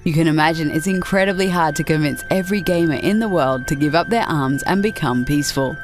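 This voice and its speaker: A woman with a low voice delivers scripted narration as the presenter of a video game list show. Music plays clearly behind her. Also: huge pitch swings, brisk.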